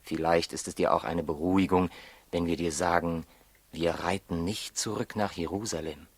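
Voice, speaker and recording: An actor playing a calm, authoritative astronomer from the East in a 1960s radio drama, courteous and reassuring. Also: male voice, low-pitched, normal speed, husky, no echo. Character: calm, courteous leader of travelling Eastern astronomers.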